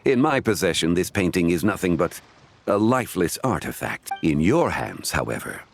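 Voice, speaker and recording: An actor playing a composed, courteous scholar of antiques in a video game, speaking in a formal, measured way. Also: male voice, deep voice, big pitch swings, creaky, full character voice.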